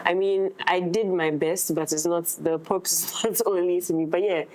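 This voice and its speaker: A woman's voice: on the low side, steady tone, moderate pace, darkish tone, low-quality recording. A woman with a Ghanaian accent chatting casually in a roundtable discussion, often repeating phrases for emphasis. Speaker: actress, discussion participant.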